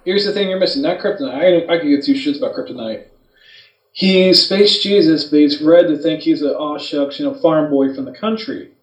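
A man's voice: a little high, fairly quick. Opinionated man, echoey and distant from mic. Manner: insistent, opinionated, explanatory. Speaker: podcast co-host. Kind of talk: casual movie debate. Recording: muffled, echoey, distant microphone.

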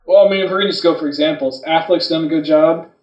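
A man's voice: on the high side, fast talker, dull audio. A man casually bantering as a podcast co-host, swearing freely and telling crude, joking stories. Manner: crude, joking, animated storytelling with acted quotes. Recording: roomy, echoing sound, off the mic.

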